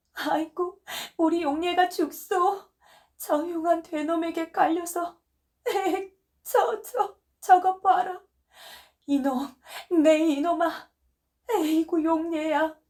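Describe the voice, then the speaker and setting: A woman's voice: very high voice, fairly even intonation, takes it slowly, a little mellow, voice pushed up. A shrieking, grief-crazed mother. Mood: desperate wailing. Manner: wailing, shrieking, sobbing. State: crying. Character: grief-crazed mother screaming for lost daughter.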